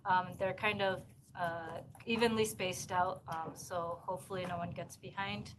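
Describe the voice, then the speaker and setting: Halting woman, noisy room recording. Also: fairly low pitch, steady tone. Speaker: seminar course instructor. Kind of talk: course logistics announcement. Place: university seminar room.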